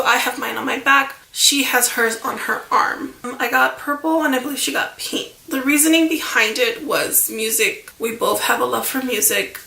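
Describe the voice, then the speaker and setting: A woman's voice: sits high, gravelly, pushed and bright. A woman in her thirties telling a casual storytime on her channel, chatty and rambling, with a stuffy voice from a cold. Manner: rambling, chatty, with fillers like "like" and "you know". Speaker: vlogger. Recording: echoey room.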